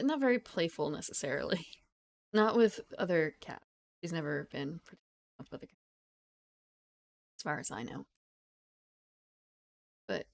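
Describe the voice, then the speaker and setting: Croaky, low-voiced woman streamer. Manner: rambling, giggly, self-correcting. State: croaky from just waking up. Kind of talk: casual live-stream chat.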